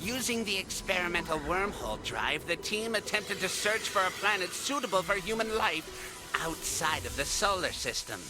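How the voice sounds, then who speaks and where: A man playing an embittered, centuries-old space explorer turned villain in an English anime dub, speaking in a measured, formal, sardonic way. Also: high voice, very animated. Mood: grave recounting. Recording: music behind the voice.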